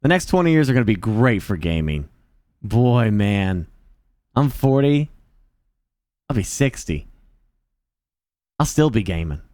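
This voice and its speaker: A man's voice: fairly low pitch, big pitch swings, a little slow, long pauses, darkish tone, dry recording, studio quality. A man in his forties chatting casually with his stream viewers, joking and self-mocking. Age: 40s.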